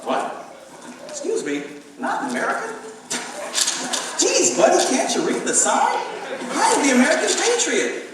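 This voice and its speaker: A man's voice: very high-pitched, wildly swooping pitch, measured pace, long pauses, exaggerated voice. A man on stage playing a pompous, showy congressional candidate who styles himself an American patriot, recorded in an echoey hall. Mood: indignant. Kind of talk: live stage play acting. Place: theater stage, audience behind. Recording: echoey hall, distant mic, noisy audience.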